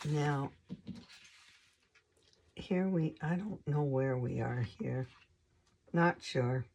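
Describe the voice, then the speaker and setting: A hoarse woman hosting a craft channel, casually showing her scrapbook pages in an unhurried, hesitant way with lots of ums. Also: deep voice, darkish tone.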